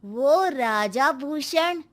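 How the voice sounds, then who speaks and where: A woman voicing an easygoing, evasive villager in conversational animated-story dialogue, casual and dismissive. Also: sits high, drawn-out words, very noisy, full character voice. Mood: hushed explaining.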